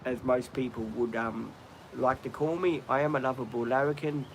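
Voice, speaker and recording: A man's voice: mid-range voice, steady tone, normal speed, slight background noise, poor audio. An Australian man hosting a casual live stream, chatting in a rambling way with frequent ums and restarts. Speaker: livestream host. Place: outdoors, birds in trees nearby.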